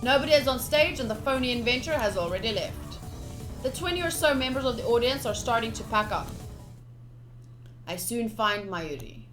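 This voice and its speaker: A woman streamer playfully reading visual novel text aloud, with casual asides in an expressive delivery. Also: a little high, normal speed. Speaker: game streamer. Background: game music.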